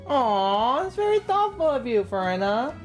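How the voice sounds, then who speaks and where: A man's voice: very high-pitched, sing-song, normal speed, soft background music. A young man with an enthusiastic, easily delighted manner, giving casual live commentary as he plays a game.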